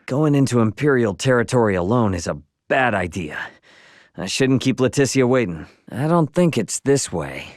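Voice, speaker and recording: A man's voice: medium pitch, dry recording, studio quality. A man playing a brash, casual young video game adventurer, slangy and wry, impatient but good-hearted. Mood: calm, musing. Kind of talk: video game character voice lines.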